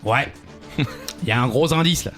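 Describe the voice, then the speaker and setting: Give the voice of a man co-hosting a casual quiz podcast. He banters easily and jokes at his own expense, with an ordinary mid-pitched voice. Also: measured pace, fairly bright tone, soft background music. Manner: bantering, self-deprecating. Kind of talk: casual jokey quiz chat.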